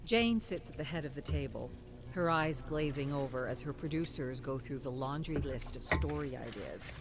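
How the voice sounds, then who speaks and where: An expressive narrator with a lively, shifting pitch reads a game story. The reading is measured, with a clear music bed behind it.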